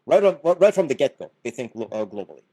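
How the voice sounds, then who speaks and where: A man's voice: medium pitch, sing-song, quick pace, warm tone. A middle-aged man from Canada, a podcast guest who explains his points earnestly and often restarts his words. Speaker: podcast guest, business founder. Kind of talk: casual podcast conversation.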